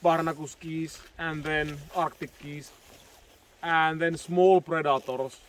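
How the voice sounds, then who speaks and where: A man's voice: a little high, fairly even intonation, slow pace. A man with a Finnish accent, a bushcraft guide who owns his own company, explaining the local area in a plain, matter-of-fact way and listing things off one by one. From Finland. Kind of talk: casual guiding explanation. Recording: tinny lo-fi audio with road noise. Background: vehicle engine and road noise.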